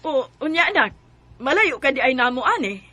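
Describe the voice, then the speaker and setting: A weary mother of a poor family in a Filipino radio drama. Her voice is high and piercing, with a Cebuano accent and a scolding, worn-down manner. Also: very animated, brisk, sharp tone, no echo, full character voice. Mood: sorrowful, apologetic.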